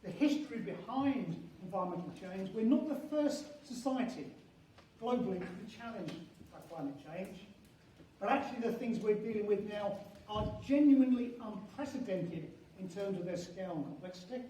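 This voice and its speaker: An older man lectures at an academic conference in a high, soft-edged voice. He proceeds haltingly, restarting phrases and leaning on key terms. The sound is heavily reverberant and picked up from a distance. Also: lively intonation. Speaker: university professor and head of geography school. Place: large echoing conference venue indoors. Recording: very echoey hall, distant mic, faint noise.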